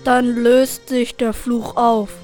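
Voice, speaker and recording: Girl as a monotone cursed forest prisoner. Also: a little high, slow, soft background music. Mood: solemn. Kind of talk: amateur school radio play.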